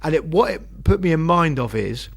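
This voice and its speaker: A man's voice: a little high, very animated, normal speed, creaky, husky. A man co-hosting a casual podcast, trading dry teasing banter and reading out a listener's email while he is losing his voice. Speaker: podcast co-host.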